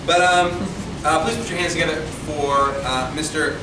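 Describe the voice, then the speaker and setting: Halting emcee introducing a comic. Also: male voice, fairly high pitch, background noise. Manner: loose, halting, joking. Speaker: comedy show emcee. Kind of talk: stage introduction. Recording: echoey club from far off, loud music.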